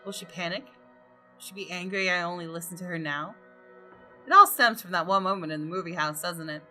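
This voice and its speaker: A woman reading visual novel narration aloud with deliberate, dramatic storytelling. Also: fairly low pitch, sharp tone. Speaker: gaming video host. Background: music.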